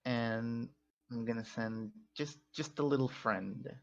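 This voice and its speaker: A man's voice: mid-range voice, takes it slowly, gravelly, highs cut off. A man voicing a laid-back frog duelist in a card game, speaking in an easygoing, offhand way.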